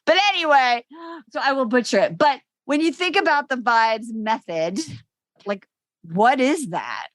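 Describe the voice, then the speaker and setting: A woman's voice: a little high, lively intonation, measured pace, no echo. A woman hosting a podcast interview, talking in a bubbly, chatty way and swearing casually. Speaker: podcast host.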